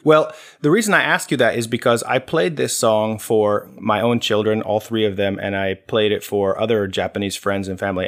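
A man with a lowish voice hosts a relaxed talk show. He explains at an even, measured pace and thinks aloud as he goes. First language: English. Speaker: podcast host. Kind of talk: casual explanatory chat.

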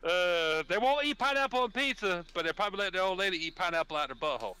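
A man's voice: sits high, lively intonation, fast talker, bright, forceful tone, clean tone. A man in a casual group chat who teases his friends and stammers before his point. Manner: teasing, stammering restarts.

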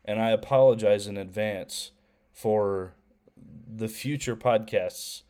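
A young man co-hosting a faith podcast, talking casually with a head cold that makes him a little nasal, often pausing with ums and restarting. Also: fairly low pitch, warm tone, smooth. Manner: hesitant, many ums and restarts. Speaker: podcast co-host.

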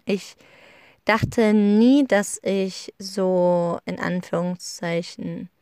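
A woman's voice: mid-range voice, darkish tone, dry recording. Young woman, podcast host, unscripted, rambling and self-correcting, close dry recording. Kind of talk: unscripted personal podcast monologue. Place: living in Iceland.

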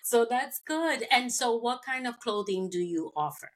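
A woman from New York hosting a podcast interview, bubbly and chatty, laughing often and agreeing quickly. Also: a little high, lively intonation, a crowd in the background. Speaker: podcast host. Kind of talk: casual interview chat.

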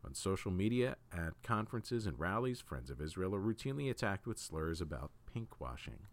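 A man reading written opinion articles aloud as a news host, in a measured, deliberate way with emphatic phrasing. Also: sits low, sing-song, no room sound.

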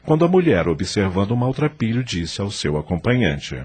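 A man's voice: fairly low pitch, big pitch swings, moderate pace, darkish tone, breathy edge. A man with a Brazilian accent narrating a scripted radio drama in a solemn, dramatic storytelling manner.

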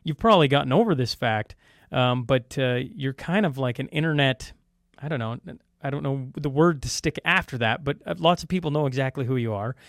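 A man hosting a podcast, asking questions in a halting way with lots of fillers and restarts. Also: mid-range voice, dry recording, clean studio sound. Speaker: podcast host. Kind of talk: casual interview talk.

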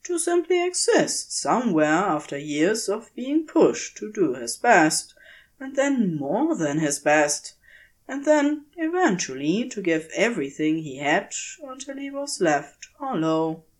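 A woman reading a story aloud as scripted narration, in a measured, expressive storytelling manner. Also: medium pitch. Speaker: audiobook narrator, podfic reader.

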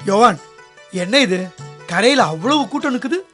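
An actor playing the disciple Peter in a radio drama, speaking in a lively, eager and colloquial way. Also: male voice, very high voice, moderate pace, heavily acted. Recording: radio drama with soft music underneath.

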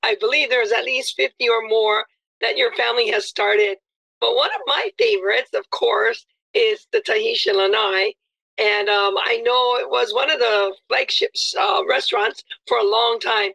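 A woman's voice: on the high side, moderate pace, creaky, fairly bright tone, lo-fi sound. A woman hosting an interview, effusive and complimentary, with many fillers. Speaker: interview host. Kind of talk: friendly interview chat.

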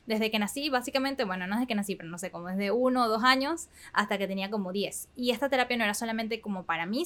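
A Venezuelan woman in her twenties, hosting a podcast and telling her own story in a candid, chatty way with fillers and soft laughs. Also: medium pitch. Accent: Venezuelan Spanish. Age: 20s. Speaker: podcast host. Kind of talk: casual personal monologue.

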